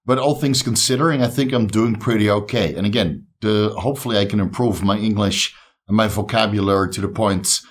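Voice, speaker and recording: Man, dutch accent, fairly low voice, slight speech impediment, upbeat chat to camera. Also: a little fast. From the Netherlands. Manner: upbeat, encouraging. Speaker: YouTube host. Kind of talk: casual talk to camera.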